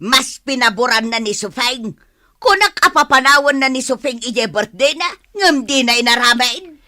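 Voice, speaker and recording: A proud older village mother, acted by a woman in a radio comedy drama. She is stubborn and huffy, with a bright, fairly high voice that swings up and down as she nurses her grudge. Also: lively intonation, moderate pace, dry recording, clean studio sound. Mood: stubborn, indignant.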